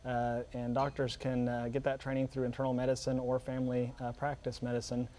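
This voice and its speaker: A man's voice: mid-range voice, completely flat delivery, brisk, warm tone, slight background noise. A man answering interview questions as a doctor, hesitant, with many ums and broken phrases. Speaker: geriatrician guest on a news show.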